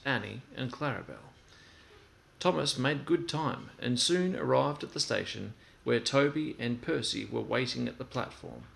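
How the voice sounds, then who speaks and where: A man reading a children's story aloud in an expressive storytelling style. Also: mid-range voice, gravelly.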